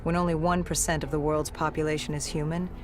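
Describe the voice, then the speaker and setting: A woman's voice: sits low, flat delivery, over music, natural voice. Game cutscene narrator: grim, war-worn female soldier actress, grave and terse, haunted, prominent score behind. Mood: grim, hardened. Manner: grave, terse, measured storytelling. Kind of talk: dramatic scripted game narration.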